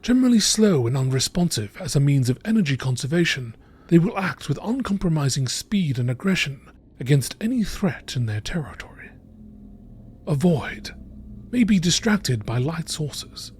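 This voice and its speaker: Male narrator for nature-documentary scripts, very expressive pitch movement, husky edge, deliberate delivery.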